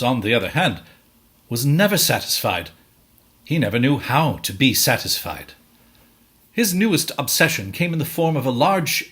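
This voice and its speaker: A man's voice: medium pitch, a bit bright. A man narrating a scripted story in a dramatic storytelling style.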